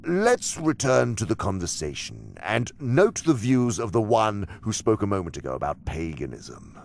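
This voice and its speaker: A cunning senior demon, holds forth in a very low, creaky voice with wide, theatrical swings. He is imperious and scornful, lecturing like a tutor in an audio drama, with faint noise behind him. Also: huge pitch swings, normal speed, heavily acted. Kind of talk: audio drama monologue-like instruction.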